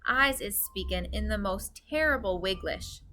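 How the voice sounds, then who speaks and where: A woman's voice: mid-range voice, expressive, normal speed, bright, forceful tone. A woman voicing a big, kindly, bumbling male giant from a storybook, theatrical and earnest, mangling his words. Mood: sad, wistful.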